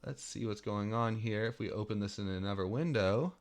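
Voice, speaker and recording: A man in his thirties presenting a programming tutorial, mixing expressive, emphatic reading aloud with casual walkthrough narration. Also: fairly low pitch, brisk. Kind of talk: dramatic reading of article mixed with casual screencast walkthrough.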